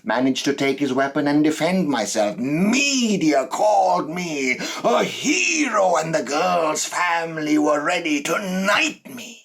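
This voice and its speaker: A man performing a dramatic monologue as a cold, boastful psychopathic killer, menacing and deliberate, with dramatic pauses and swells. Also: rumbling low, fairly even intonation, slightly rough, heavily acted. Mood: heated, boastful. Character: cold, boastful male psychopath serial killer telling his story.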